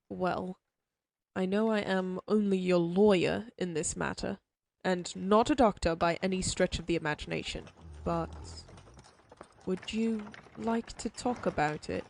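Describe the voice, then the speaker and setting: A woman's voice: fairly low pitch, slow, gravelly, darkish tone. A woman voicing a prim, sympathetic frontier lawyer in an audio roleplay, speaking formally with old-fashioned phrasing. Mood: calm, polite.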